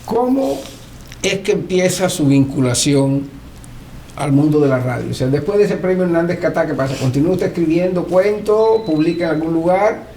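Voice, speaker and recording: Mellow higher-voiced man interviewing, roomy sound. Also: expressive, moderate pace. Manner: formal address, frequent affirming murmurs. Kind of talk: oral history interview, respectful questioning. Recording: roomy echo, faint background noise.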